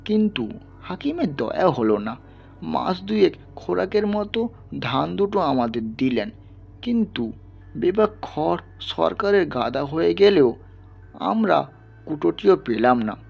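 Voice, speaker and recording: A humble, ailing peasant acted by a male reader pleads sorrowfully in an audio story. His voice is husky and creaky and sits fairly high. Music plays softly behind. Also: big pitch swings, unhurried, muffled recording, exaggerated voice. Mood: tearful, aggrieved. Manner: pleading, plaintive, deferential.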